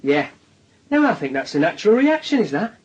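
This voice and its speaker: A persistent, husky Northern English detective. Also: male voice, high voice, sing-song, fairly quick, darkish tone, dull audio, heavily acted. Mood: coaxing, insistent. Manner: coaxing, dryly ironic. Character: wheedling, persistent plain-spoken police detective. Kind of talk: naturalistic radio drama acting.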